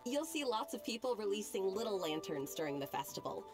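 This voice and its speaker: A woman's voice: medium pitch, sing-song, fairly quick, music in the background, natural voice. A woman voicing a friendly, knowledgeable festival-goer in a video game, chatty and informative.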